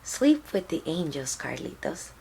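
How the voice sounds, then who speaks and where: A woman reading the part of a loving, proud activist mother in a storybook, gentle and earnest. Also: low-pitched, big pitch swings, a little slow, vocal fry, a little hiss or hum, heavily acted, poor audio. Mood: tender.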